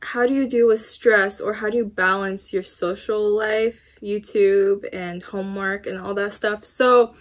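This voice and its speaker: A woman's voice: medium pitch, fairly even intonation, unhurried, hardly any pauses. An eighteen-year-old woman answering viewer questions in a casual vlog from her room, rambling with lots of fillers and little laughs. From United States. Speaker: YouTuber. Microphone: camera in front of her, laptop beside it.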